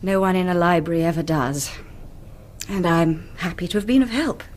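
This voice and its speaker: An actress playing a helpful, composed librarian with a British accent in a radio drama. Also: female voice, on the low side, expressive, moderate pace, lightly acted. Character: helpful, composed female librarian, younger than the detective.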